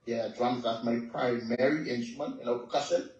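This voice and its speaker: Bahamian musician guest, mid-pitched, easygoing, filler-heavy, heard from a distance in an echoey room. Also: male voice, steady tone, a little fast, a little mellow, muffled recording. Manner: laid-back, says "you know" constantly. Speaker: reggae artist guest. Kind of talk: casual interview over a call.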